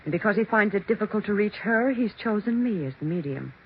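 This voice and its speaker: A woman's voice: mid-range voice, expressive, close to an everyday voice. A woman playing a domineering, scheming mother who claims to speak with the dead, in stern American 1940s radio suspense acting. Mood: stern, menacing. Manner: stern, commanding, deliberate diction. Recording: dull, noisy 1940s radio recording.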